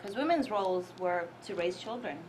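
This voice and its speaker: A woman's voice: medium pitch, expressive, brisk. A woman hosting an interview show, asking long, formal questions politely. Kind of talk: hosted interview. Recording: recording with clear background noise.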